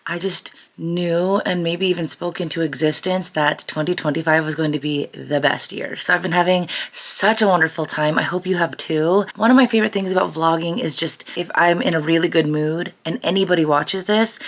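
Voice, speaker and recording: A woman with an American accent and a low-pitched voice that is raspy from a lot of singing. She talks like a vlogger in an upbeat, effusive, chatty way, addressing her viewers casually.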